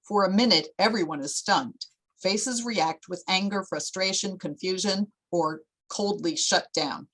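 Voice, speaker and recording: A woman's voice: mid-range voice, a little slow, a bit bright, rough recording. A woman narrating a screenplay at a table read, reading out scene headings and stage directions.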